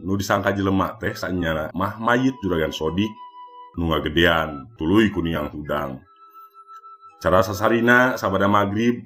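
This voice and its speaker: Deep-voiced Sundanese man telling a tale. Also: a bit bright. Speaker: storyteller. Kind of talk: scripted narration of a Sundanese mystery folktale. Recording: music bed behind the voice. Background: background music.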